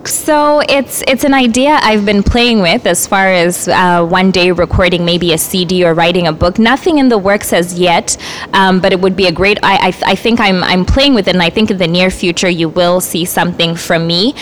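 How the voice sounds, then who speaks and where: A woman's voice: on the low side, slight background noise. A young woman from Zimbabwe, a spoken word artist, introducing herself earnestly on community radio with many fillers. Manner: earnest, measured, with frequent fillers.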